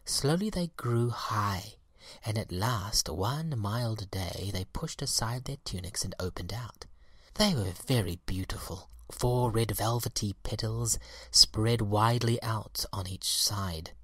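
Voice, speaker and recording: A man reading a children's tale as a storyteller, expressive and measured, with a husky, breathy voice. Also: fairly low pitch, dry recording. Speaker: storybook reader.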